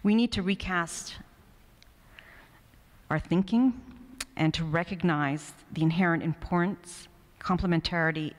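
Woman, middle-aged academic keynote speaker; lowish voice, earnest and measured, echoing hall. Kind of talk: prepared academic keynote speech. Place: indoor university hall at a lectern. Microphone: microphone on a stand, close in front. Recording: reverberant hall through microphone.

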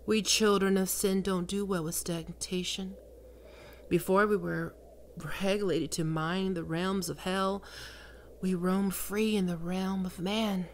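A woman voicing a seductive, domineering female demon queen of lust in an ASMR roleplay, speaking slowly and sultrily, often in a whisper. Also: mid-range voice, vocal fry, a little hiss or hum. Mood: sultry.

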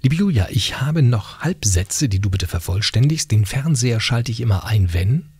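A middle-aged man hosting a conversational radio interview, speaking with a husky voice into a close studio microphone. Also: medium pitch, expressive, normal speed, darkish tone, dry recording. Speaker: radio host. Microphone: close studio microphone on boom arm.

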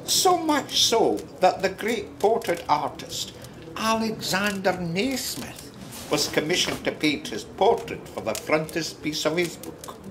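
A man's voice: high-pitched, very animated, unhurried, background noise. An actor playing a droll, gossipy Scottish man who tells a tale in a chatty, theatrical way for a radio drama. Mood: gossipy, admiring. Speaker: narrator.

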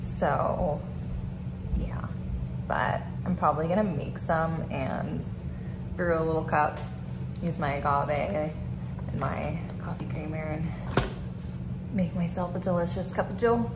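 A woman's voice: low-pitched, slow pace, pauses often, echoey room, heavy background noise. A woman in her thirties chatting casually to camera in her home vlog, with many "like"s and "um"s. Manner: chatty, filler-heavy, lots of "like" and "um". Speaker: vlogger. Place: kitchen and living room of an apartment.

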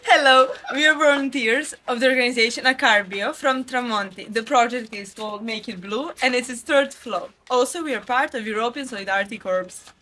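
A woman's voice: a little high, a little fast, few pauses, sharp tone, slight background noise. A young woman from Serbia, a volunteer, joking into a phone held at arm's length outdoors. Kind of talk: selfie vlog intro. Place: outdoors, old stone street.

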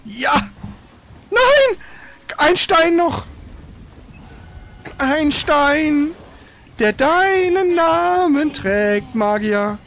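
A man's voice: very high-pitched, very animated, drawn-out words, pauses often, fairly bright tone, a little hiss or hum. A man giving casual, colloquial gaming commentary as he plays, exclaiming at the game. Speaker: gamer, let's play host.

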